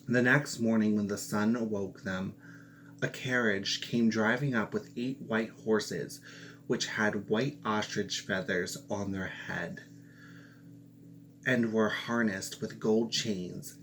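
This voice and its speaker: A man reading a fairy tale aloud slowly and carefully, now and then restarting a word. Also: on the low side, fairly bright tone, a little hiss or hum, poor audio. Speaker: storyteller.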